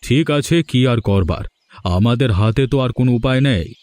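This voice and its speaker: A man's voice: medium pitch, lively intonation, moderate pace, darkish tone, no room sound, natural voice. Grumpy, worried village shopkeeper, acted by a man. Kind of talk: cartoon dialogue acting.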